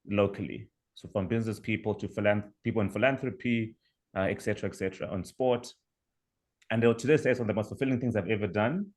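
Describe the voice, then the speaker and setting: A young man from Zimbabwe with a Zimbabwean accent, presenting his startup over a video call in a measured, explanatory way. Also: lowish voice, darkish tone. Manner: measured, explanatory, with fillers and restarts. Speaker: startup founder pitching. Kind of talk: online pitch presentation.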